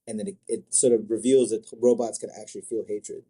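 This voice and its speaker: Very mellow-voiced man, casual and slangy. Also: fairly low pitch, little pitch movement, a little fast, husky. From Africa. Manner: slangy, repeats short phrases, agreeable. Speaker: interview guest, manga artist and sculptor. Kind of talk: casual interview chat.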